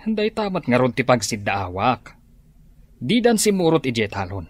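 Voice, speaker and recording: A man's voice: mid-range voice, very animated, moderate pace, no room sound. A middle-aged man with an Ilocano accent plays a strict, scolding farmer father in a radio drama. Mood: angry. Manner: scolding, gruff. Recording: faint music behind.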